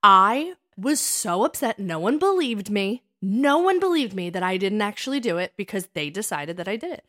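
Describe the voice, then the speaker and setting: A woman's voice: medium pitch, moderate pace, hardly any pauses, dry recording, clean studio sound. Emphatic woman storyteller in her thirties. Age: 30s. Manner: animated, emphatic, repeats phrases for effect. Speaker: podcast co-host. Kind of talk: casual personal storytelling.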